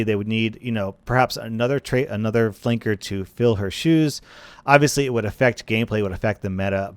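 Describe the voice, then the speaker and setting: A man talks through video game speculation in a casual, conversational way. His voice sits at a middle pitch and is recorded close and dry, with very little room sound. He thinks aloud, building one what-if after another. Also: no room sound. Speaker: gaming commentator.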